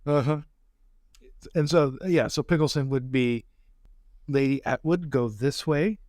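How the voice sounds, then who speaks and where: Male gamer, medium pitch, casual in-the-moment roleplay talk, very dry sound. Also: unhurried, darkish tone, no room sound. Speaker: player in a tabletop roleplaying game. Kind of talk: casual improvised game talk.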